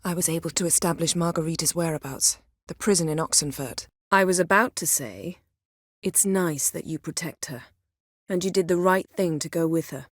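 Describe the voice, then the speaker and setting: A woman's voice: fairly low pitch, moderate pace, dry recording, clean studio sound, close to an everyday voice. A woman voicing a proud, commanding, sardonic sorceress in a fantasy video game, with formal, cool, clipped diction. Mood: cool, composed. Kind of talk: video game voice acting, scripted dialogue.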